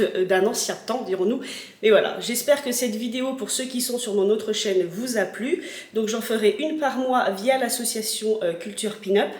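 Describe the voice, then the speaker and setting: A woman's voice: medium pitch, darkish tone, roomy sound. A woman in her thirties with a cold, chatting and rambling through a casual unboxing in her home kitchen. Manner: chatty, rambling, many fillers. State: stuffy from a cold. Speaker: vlogger.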